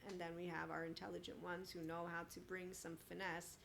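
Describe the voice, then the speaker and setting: Woman in her forties, lowish voice, emphatic and sarcastic, solo podcast monologue, noisy background. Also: flat delivery, a little fast, creaky, a bit bright. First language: bilingual with Italian. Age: 40s. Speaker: podcast host. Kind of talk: opinionated solo monologue with personal stories.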